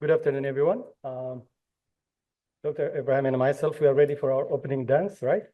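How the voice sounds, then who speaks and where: A man's voice: mid-range voice, fairly even intonation, a little fast, narrow, tinny audio. A man from Ethiopia moderating a conference panel, speaking with frequent fillers and restarts. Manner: hesitant, many fillers and restarts. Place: conference hall.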